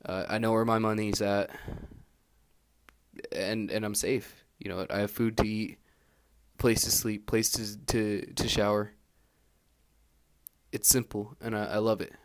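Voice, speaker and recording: A young man records a personal audio log on a handheld recorder, his voice sitting a little low. He talks in a relaxed, candid way, drifting between thoughts with plenty of fillers and false starts. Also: a little slow, pauses often. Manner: meandering, full of fillers and restarts; laid-back and candid. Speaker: exchange student keeping an audio diary. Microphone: handheld recorder held close.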